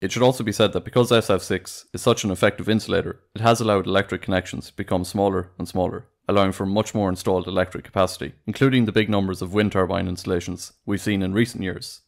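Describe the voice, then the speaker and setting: A male narrator delivers a scripted educational explainer in a low voice with noticeable vocal fry. He moves briskly through the material in an explanatory manner. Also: steady tone. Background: background music.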